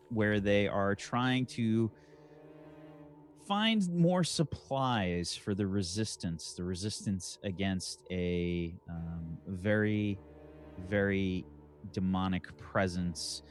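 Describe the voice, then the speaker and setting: A man hosting a tabletop game stream as game master, relaxed and hesitant with many fillers. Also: fairly low pitch.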